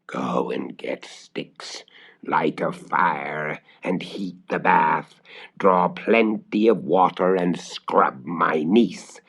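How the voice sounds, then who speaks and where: A man's voice: sits low, drawn-out words, creaky, a bit bright, husky, heavily acted. Man voicing a grating, menacing old witch. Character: horrid old witch, sly, hungry, menacing. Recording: dull vintage vinyl record transfer.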